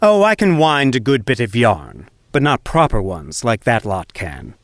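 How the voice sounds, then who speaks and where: A cheeky street minstrel for a storybook drama. He is sly and casual, with teasing false deference and a lively, swinging pitch. The recording is very dry. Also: measured pace, no room sound. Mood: easygoing. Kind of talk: full-cast audiobook acting.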